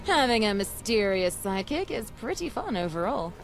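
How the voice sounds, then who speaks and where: An actress playing a plucky, adventurous treasure-hunting game companion, jaunty and bossy in a theatrical way. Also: female voice, mid-range voice, sing-song, normal speed, faint music behind. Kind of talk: video game companion dialogue.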